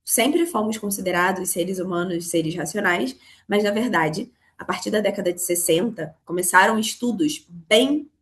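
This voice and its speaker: A Brazilian woman from Rio with a Carioca accent, hoarse from a cold, teaching a live online class on money, talking straight to her students. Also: medium pitch, normal speed, hardly any pauses. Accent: Carioca Brazilian Portuguese. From Rio de Janeiro, Brazil. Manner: explanatory, addresses students directly. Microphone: video call.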